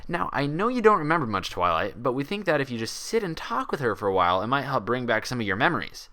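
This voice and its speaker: A man's voice: medium pitch, sing-song, fast, bright, forceful tone, pitched above the performer's own voice. Man voicing a chipper, condescending pony doctor. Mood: chatty, placating. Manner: chatty, placating, chuckling. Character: cheerful, condescending male pony doctor, falsely soothing.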